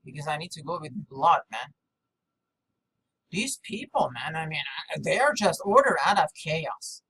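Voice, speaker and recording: A non-native English speaker with a mid-pitched voice rambles through an unscripted vlog monologue. The delivery is halting and repetitive, breaking off and jumping between thoughts. There is clear noise behind the voice. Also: animated, pushed and bright. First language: not English. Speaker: video maker. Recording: clearly noisy background.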